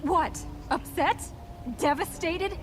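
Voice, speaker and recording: An actress playing a proud, devout young princess in a video game, speaking in a grave, formal, resolute way. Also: female voice, very high-pitched, slow pace. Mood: bitter. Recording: noisy game capture, loud effects behind.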